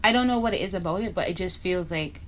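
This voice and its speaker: A woman's voice: lowish voice, fast talker, slight background noise. A young woman from Barbados chatting bluntly and casually while doing her makeup for a vlog, dropping in slang asides. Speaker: beauty vlogger.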